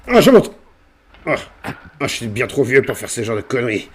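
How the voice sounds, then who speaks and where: A man's voice: mid-range voice, big pitch swings, normal speed, natural voice. A man playing a whining, scheming old priest with a bad back in a roleplay game, groaning and pleading. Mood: wheedling, weary.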